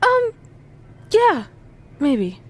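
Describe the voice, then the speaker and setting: A woman's voice: extremely high pitch, huge pitch swings, extremely slow, noisy background, full character voice. A woman playing an eager, earnest young female wrestler in a video game, chatty with a dry, self-deprecating wit. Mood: wry, awkward. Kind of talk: video game cutscene acting, conversational.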